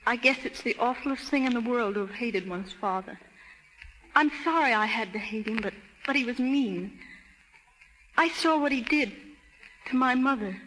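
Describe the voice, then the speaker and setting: A young American country woman, wounded yet determined. Her high, bright voice pleads with open emotion in the 1930s radio manner, ringing in a hollow space on an old, slightly noisy broadcast. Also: normal speed, long pauses, long reverb tail. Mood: pained, resolute.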